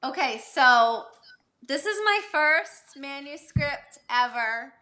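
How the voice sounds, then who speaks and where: A woman from Massachusetts with a Boston accent, an author answering interview questions eagerly and enthusiastically, her voice sounding distant and quiet. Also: high voice, expressive, slow pace. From Massachusetts, USA. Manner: enthusiastic, eager, laughs easily. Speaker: author, guest.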